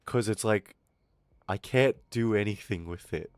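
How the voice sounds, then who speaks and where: A man's voice: fairly low pitch, unhurried, clear, resonant tone, dry recording, studio quality. A young man with an Australian accent that slips toward American, ranting casually on a stream, swearing and mocking people in put-on voices. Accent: Australian with some American.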